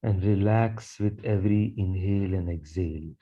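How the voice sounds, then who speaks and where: A man leading a guided meditation in a soothing, measured manner, repeating phrases as he goes. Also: low voice, narrow, tinny audio. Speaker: guided meditation leader, Reiki teacher.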